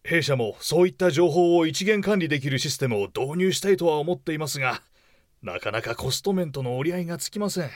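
A reasonable male office manager played by an actor in an audio drama. He speaks politely and deferentially in a measured way, with a fairly high male voice and dry recording. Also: lively intonation, no echo, lightly acted.

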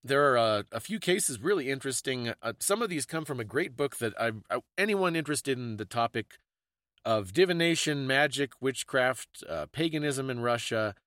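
A man's voice: mid-range voice, lively intonation, moderate pace, fairly bright tone, no echo, clean studio sound. A man co-hosting a podcast, talking casually about history in a bookish, explanatory way. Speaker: podcast co-host. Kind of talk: casual conversational history discussion.

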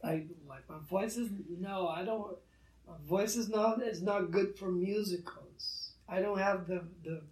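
Slow, halting man in a roomy space. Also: sits high, darkish tone, recorded from a distance. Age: 30s. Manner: halting, many restarts, earnest. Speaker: guest artist. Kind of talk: reflective interview talk.

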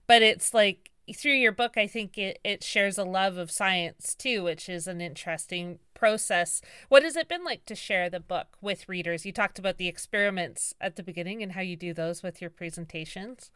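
A woman's voice: mid-range voice, moderate pace, few pauses, a bit bright, clear, resonant tone, no echo, clean studio sound. A woman hosting an interview podcast, chatty and rambling, full of likes and restarts. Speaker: podcast host. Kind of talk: casual interview chat.

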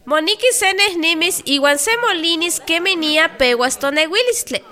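A busy health adviser in a radio drama. Her voice is very high and bright, with a forceful edge, in a scripted delivery. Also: expressive, measured pace, heavily acted. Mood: instructive. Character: busy woman giving maternal health advice.

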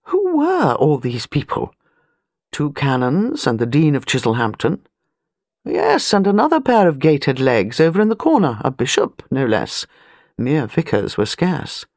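A woman's voice: low voice, huge pitch swings, measured pace, a little mellow, dry recording, clean studio sound. A British woman reading a novel as an audiobook narrator, precise and wry. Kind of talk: scripted literary narration.

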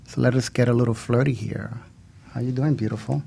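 A man's voice: mid-range voice, steady tone, fairly quick, gravelly, mellow, husky. A man co-hosting a radio show in a studio with casual, flirtatious banter.

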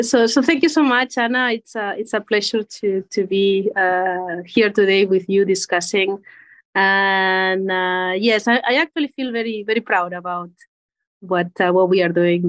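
A Spanish-accented woman in her forties speaks in a fairly low voice, answering an interviewer's questions about her work. She chooses her words with care and often repeats a word while finding the phrase she wants. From Spain. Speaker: corporate executive guest.

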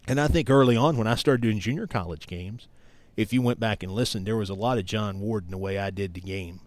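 A man's voice: fairly low pitch, brisk, a little mellow, no echo. A middle-aged American man from East Tennessee, a sports broadcaster talking as an interview guest in an earnest, emphatic storytelling way. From East Tennessee, United States. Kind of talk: conversational interview, reminiscing.

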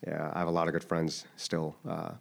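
A man's voice: extremely low pitch, monotone, brisk, gravelly. A man in his thirties who grew up in Japan, answering interview questions in a thoughtful, hesitant way with many restarts. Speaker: interview guest. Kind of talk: casual interview.

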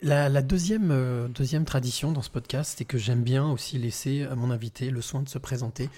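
Soft-voiced dark-toned man hosting a podcast. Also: mid-range voice, brisk, breathy edge. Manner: warm, searching for words with repeated restarts. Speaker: podcast host. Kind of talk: relaxed podcast interview. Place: large bright living room in a home.

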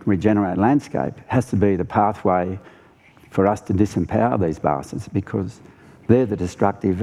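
Deep, creaky-voiced Australian man. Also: dark tone. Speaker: farmer and ecologist, festival panellist. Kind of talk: live panel discussion.

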